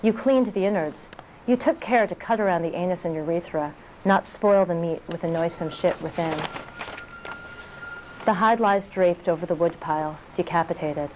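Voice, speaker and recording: A woman poet reading her work aloud in a measured delivery of short, clipped phrases. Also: on the low side, darkish tone, a little hiss or hum, rough recording. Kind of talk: poetry reading.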